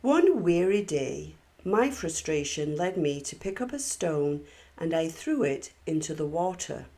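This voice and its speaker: A woman narrating a children's story expressively, with measured, deliberate phrasing. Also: deep voice, very animated, mellow. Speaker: storybook reader.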